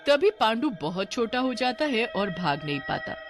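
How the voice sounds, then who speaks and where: A woman's voice: fairly high pitch, sing-song, normal speed, over music. A woman narrator reading a scripted horror tale for a cartoon.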